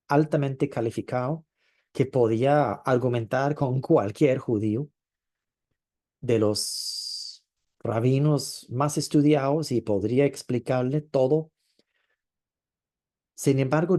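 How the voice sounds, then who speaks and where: Mellow-voiced male preacher, thoughtful. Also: medium pitch. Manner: thoughtful, with frequent hesitations and drawn-out stresses. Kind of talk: sermon.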